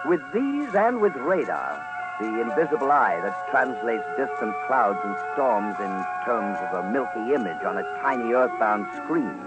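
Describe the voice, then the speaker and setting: A man delivers dramatic scripted documentary narration in a grand, theatrical, measured manner, like a commentator on a vintage radio broadcast. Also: mid-range voice, very animated, poor audio. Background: loud background music.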